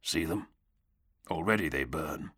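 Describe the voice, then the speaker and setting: A grim armoured warrior-priest, played by a male narrator, speaks in a low, bleak drawl. He intones his lines tersely and solemnly, with the weight of a commander giving a sermon in a war story. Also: lively intonation, unhurried, bright, forceful tone, slightly rough, heavily acted.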